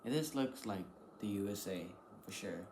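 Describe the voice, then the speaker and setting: A man's voice: on the low side, animated, normal speed, darkish tone, slight background noise. A man giving casual reaction commentary, thinking aloud in a hesitant, repetitive way. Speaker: reaction video host.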